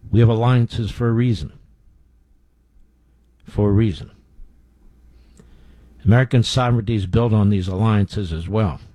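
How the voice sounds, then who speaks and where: A man's voice: on the low side, fairly even intonation, unhurried, long pauses, dark tone, no echo. A man hosting a talk radio show, giving blunt, scornful commentary with frequent fillers.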